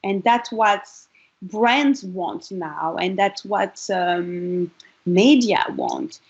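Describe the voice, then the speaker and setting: A woman's voice: mid-range voice, animated, extremely slow, gravelly, highs cut off. A woman from Congo, a model and charity founder, speaking earnestly in a casual interview with frequent restarts.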